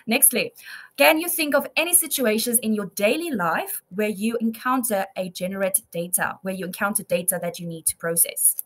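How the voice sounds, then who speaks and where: Bright-voiced young woman teaching English online. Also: mid-range voice, lively intonation, moderate pace. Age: 20s. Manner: encouraging, affirming. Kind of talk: teaching a one-to-one online lesson.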